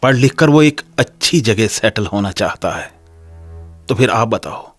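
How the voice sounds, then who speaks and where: A defiant young student. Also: male voice, lowish voice, expressive, unhurried, faint music behind. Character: defiant, hot-headed young male student, wounded and bitter. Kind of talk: radio drama acting.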